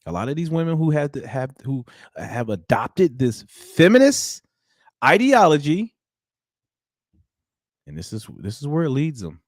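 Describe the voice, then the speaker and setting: A man in his thirties hosts a livestream into a studio mic, speaking with headphones on. His pitch swings widely as he preaches and addresses his chat, and the sound is dry and close. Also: unhurried, pauses often, no echo. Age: 30s. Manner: animated, preachy, talks to the chat. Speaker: livestream host. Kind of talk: live commentary.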